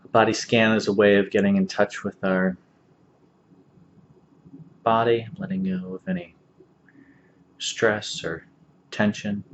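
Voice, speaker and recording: A man leading a guided meditation as an instructor, speaking calmly and gently with long, unhurried pauses. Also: on the low side. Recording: dull lo-fi audio, faint background noise.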